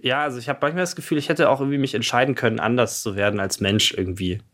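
A man's voice: medium pitch, very fast, a bit bright. A man, chatting casually in a jokey, self-reflective way. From Freising, Bavaria, Germany. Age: 20s. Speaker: podcast subject and co-host. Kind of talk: casual chat.

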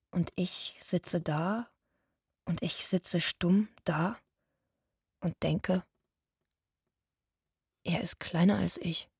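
Husky migrant woman in an audio drama. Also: fairly low pitch, darkish tone, dry recording, clean studio sound, exaggerated voice. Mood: brooding. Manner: short, insistent, repeated phrases. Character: pregnant undocumented migrant cleaning woman, guarded and bitter. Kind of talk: stage-like monologue in audio drama.

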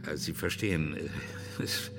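Low, gravelly, fussy man. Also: unhurried, full character voice. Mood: anxious, apologetic. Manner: formal, hesitant, self-excusing. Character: anxious, evasive man fussing over his housing. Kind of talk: German radio drama acting. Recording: reverberant hall with music behind.

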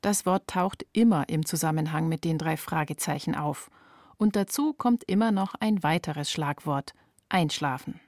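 A woman's voice: deep voice, moderate pace, darkish tone, no room sound. A middle-aged woman reading scripted radio feature narration as the reporter.

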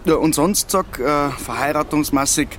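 A man's voice: fairly high pitch, normal speed, noisy background, lightly acted. An actor with a Bavarian accent playing a nosy, dryly joking village man in a dialect radio play. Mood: nosy, teasing.

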